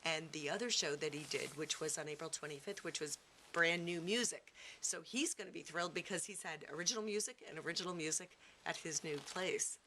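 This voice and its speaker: A low-voiced woman with a very bright, forceful edge answers interview questions. She is middle-aged and talks at length with enthusiasm and emphasis. A faint hiss sits behind her. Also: a little fast. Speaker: theatre cast member.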